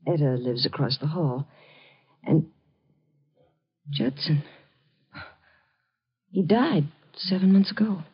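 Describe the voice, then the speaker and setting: A woman playing a distraught, guarded young woman in a 1950s radio drama, tense and halting, with a pleading delivery. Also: low-pitched, expressive, breathy edge, heavily acted. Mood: uneasy, halting. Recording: dull, noisy 1950 radio recording.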